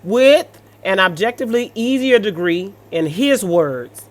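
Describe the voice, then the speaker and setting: A mid-pitched American voice reads stories aloud and comments on them off the cuff. The delivery is sassy and blunt, with colloquial asides in a scolding manner. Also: lively intonation, a little slow. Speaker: host reading and reacting to online stories.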